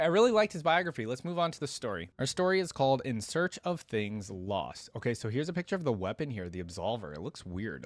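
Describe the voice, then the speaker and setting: A man's voice: mid-range voice, no room sound. A man with a mid-range voice hosts a reaction video. He moves between reading a story aloud and offering casual commentary, recorded dry and without room sound.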